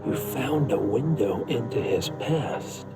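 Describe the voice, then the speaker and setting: An actor playing a smug, calculating villain in a video game, with a measured, taunting manner. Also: male voice, medium pitch, lively intonation. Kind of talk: cinematic game dialogue. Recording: loud music behind the voice.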